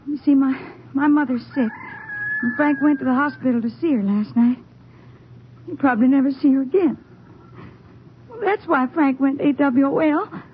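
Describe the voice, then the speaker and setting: A woman plays an anxious young woman protecting her brother in a vintage radio drama. Her high-pitched voice is earnest and hesitant. The recording is dull, with faint noise. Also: moderate pace, pauses often, close to an everyday voice. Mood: sad, earnest. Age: young adult. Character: worried young woman, protective sister of a runaway soldier. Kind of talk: old-time radio drama acting.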